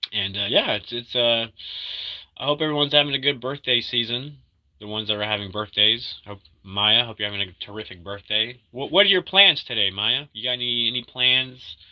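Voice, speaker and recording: Man in his thirties, feeling sick, loose rambling stream chat with a very bright, sharp tone. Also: mid-range voice, rough recording. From Argentina. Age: 30s. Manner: rambling, halting, full of fillers and restarts; upbeat when greeting viewers. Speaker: livestreamer reading chat. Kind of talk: casual live-stream chat.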